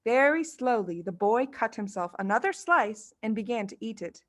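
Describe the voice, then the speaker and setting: A woman reading a children's story aloud as expressive scripted narration. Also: on the high side, moderate pace. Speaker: storybook reader.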